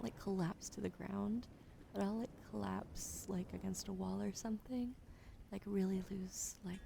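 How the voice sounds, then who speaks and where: A young woman whispering softly and slowly to viewers on an ASMR stream, close to a sensitive microphone at her desk. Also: mid-range voice, gravelly, a little hiss or hum. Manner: soft unvoiced whisper, slow and soothing.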